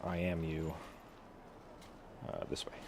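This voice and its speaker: A man's voice: sits low, completely flat delivery, takes it slowly, background noise. A man giving offhand, wry, casual commentary as he plays a video game. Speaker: gamer, streamer. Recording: game music behind the voice. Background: game music.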